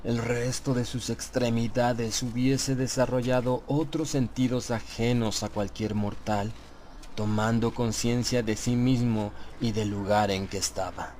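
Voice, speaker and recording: A man reading a first-person horror audiobook as narrator, with dramatic, measured storytelling and deliberate pauses. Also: medium pitch, steady tone, a little mellow, slight background noise.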